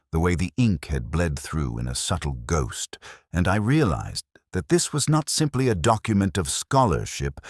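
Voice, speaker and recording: Casting: male actor as contemplative, observant detective narrator for a mystery audio drama; extremely low register, varied intonation, measured literary delivery. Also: no echo, clean studio sound, full character voice. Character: pensive, observant male detective recounting his case. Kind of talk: scripted first-person detective narration.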